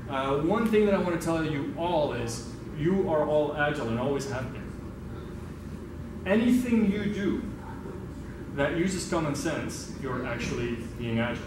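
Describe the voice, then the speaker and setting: A man from Belgium presents a workshop in an informal, conversational way. He is recorded far from the microphone in a very reverberant room. Clear background noise runs under his speech. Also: fairly high pitch, expressive, normal speed. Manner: conversational, engages the audience with questions. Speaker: workshop facilitator, speaker. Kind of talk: informal talk to a meetup audience. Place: indoor meetup room.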